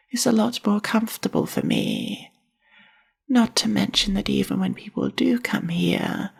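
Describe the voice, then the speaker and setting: A very breathy, husky young monster librarian, half spider and bookish, played by a woman, speaks softly in an ASMR roleplay. Her voice is heavy with creak and moves slowly, with formal, reserved and carefully measured wording. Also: medium pitch, exaggerated voice. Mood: quiet, reserved. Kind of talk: ASMR roleplay, soft-spoken monologue to the listener.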